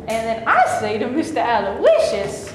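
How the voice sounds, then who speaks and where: A woman's voice: on the high side, wildly swooping pitch, moderate pace. A woman playing a ditzy, vain starlet. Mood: chirpy. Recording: echoey room with noise.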